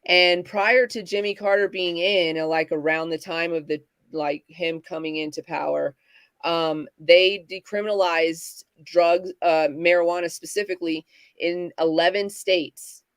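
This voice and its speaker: A woman co-hosting a casual livestream talk, speaking in halting bursts with many fillers and restarts. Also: fairly low pitch, steady tone, clean tone, no echo. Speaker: podcast co-host.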